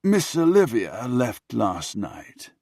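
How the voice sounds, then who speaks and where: A man's voice: mid-range voice, big pitch swings, slow, a little mellow, no room sound, voice pushed up, full character voice. A man voicing an elderly butler in an audiobook, dry, sarcastic and reproachful in manner. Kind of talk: audiobook character dialogue.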